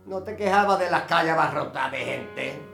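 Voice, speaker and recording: A man acts out a stubborn husband in a wartime audio drama. His voice is slightly high, with an accent from Spain, and his manner is curt and insistent. Faint music plays behind him. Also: a little fast, gravelly, pushed and bright, heavily acted. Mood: exasperated. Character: stubborn, domineering husband and father in wartime Málaga.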